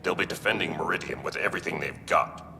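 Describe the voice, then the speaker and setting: An actor playing a grave, cautious military man with a deep, gravelly voice in a sci-fi video game. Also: quick pace, pushed and bright. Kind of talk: dramatic video game cutscene acting. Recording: long hall reverb, music behind.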